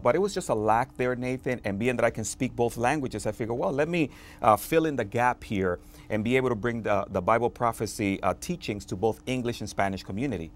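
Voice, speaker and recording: A man from the Dominican Republic, a pastor, speaking earnestly as a guest in a television studio interview, often pausing on "uh". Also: mid-range voice. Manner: earnest, fervent, with frequent filler "uh". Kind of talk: conversational testimony. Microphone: lapel mic.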